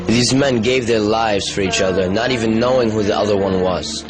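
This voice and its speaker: A man's voice: on the low side, normal speed. Earnest male preacher over music. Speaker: Islamic preacher. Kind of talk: sermon with storytelling.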